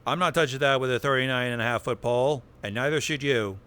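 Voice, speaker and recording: A man's voice: medium pitch, quick pace, a bit bright. A man hosting a narrated recap, with wry asides and casual swearing. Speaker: video host. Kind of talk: narrated recap of horror web series. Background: music bed.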